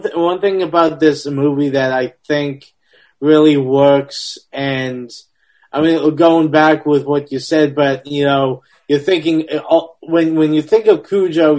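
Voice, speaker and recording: Slightly higher-voiced man in his forties. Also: darkish tone. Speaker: podcast panelist. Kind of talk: casual film discussion.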